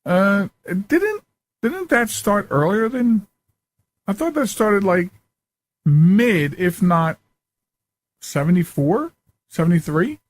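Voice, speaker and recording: Higher-voiced man hosting a casual interview. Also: animated, slow, pauses often, no room sound. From United States, Philadelphia area. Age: 40s. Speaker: show host.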